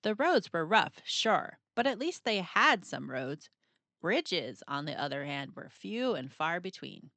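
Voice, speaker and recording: A woman reading a children's picture book aloud in an expressive, playful storytelling style, with dramatic pauses and emphasis. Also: lowish voice, no echo.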